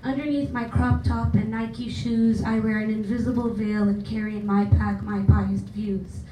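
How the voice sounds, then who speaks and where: A woman's voice: mid-range voice, little pitch movement, moderate pace, warm tone. A woman in her twenties from Jordan, a native Arabic speaker with an American accent, reciting her poem with rhythm and emphasis at an open mic. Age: 20s. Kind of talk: spoken word poetry performance. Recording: roomy, distant, noisy venue.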